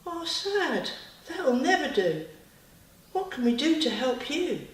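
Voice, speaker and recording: Storybook role: woman playing a gently firm lady coach, coaxing and reassuring, recorded distantly with room echo. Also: high voice, sing-song, takes it slowly, pitched above the performer's own voice, heavily acted. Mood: concerned. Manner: coaxing, soothing. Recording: very echoey room, distant microphone.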